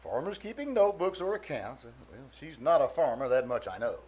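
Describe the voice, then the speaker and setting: A man's voice: on the high side, sing-song, fairly quick, a little mellow. A man playing a pompous, jealous, easily flustered middle-aged businessman in a 1940s radio comedy style. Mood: grumbling. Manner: blustering, sputtering.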